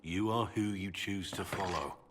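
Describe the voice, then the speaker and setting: A man playing a brooding, honourable middle-aged warrior in a video game, with measured, earnest delivery. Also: sits low, noisy background, lightly acted. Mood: earnest, reflective. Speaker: video game character voice actor. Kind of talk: dramatic game dialogue.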